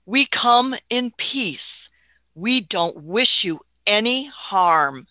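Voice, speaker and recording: A woman's voice: medium pitch, animated, drawn-out words, pushed and bright, no echo, heavily acted. A woman playing an elderly, curious, imperious time-travelling scientist in a radio play, hemming and musing as she goes. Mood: stern. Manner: hemming, musing, punctuating with "hmm" and chuckles.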